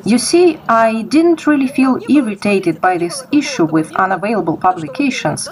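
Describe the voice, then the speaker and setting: A woman's voice: medium pitch, lively intonation, normal speed, low-quality recording. A young adult woman from Kazakhstan giving informal, explanatory answers as an interviewee. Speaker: interviewee, project creator.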